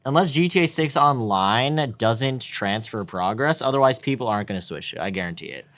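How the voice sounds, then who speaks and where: A man's voice: mid-range voice, expressive, fairly quick, fairly bright tone. A young man in casual conversation about video games, with a blunt, opinionated, teasing way of talking. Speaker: podcast co-host.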